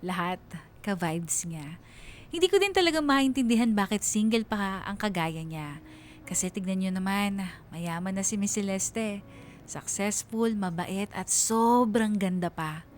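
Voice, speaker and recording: A woman's voice: mid-range voice, lively intonation, a little slow, a little hiss or hum, close to an everyday voice. A woman playing a reflective young woman narrating her love story on radio, with a Filipino accent. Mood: reflective, admiring. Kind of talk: dramatized letter narration on radio.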